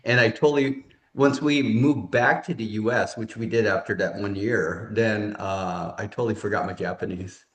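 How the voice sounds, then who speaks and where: Rambling low-voiced man in a roomy room. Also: normal speed, few pauses. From California, United States. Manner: rambling, self-correcting, many fillers. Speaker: podcast guest. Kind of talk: casual interview chat. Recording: roomy echo.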